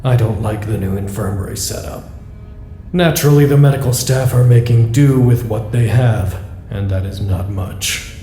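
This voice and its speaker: Actor doing gloomy suspicious guy logging notes in a horror podcast, dark low voice, slow-burn wry delivery, faint music behind. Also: natural voice. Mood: uneasy, wry. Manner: measured, ominous, dryly wry. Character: brooding, wary male facility staffer recording his observations. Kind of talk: audio drama monologue.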